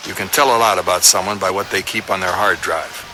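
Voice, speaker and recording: A man's voice: low-pitched, steady tone, brisk, sharp tone, a little hiss or hum. An actor playing a terse, matter-of-fact male police detective in a hardboiled audio crime drama.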